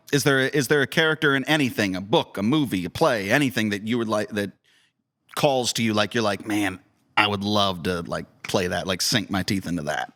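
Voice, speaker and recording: A man's voice: on the low side, fairly bright tone. A man hosting a casual podcast interview, asking questions in an informal, enthusiastic way.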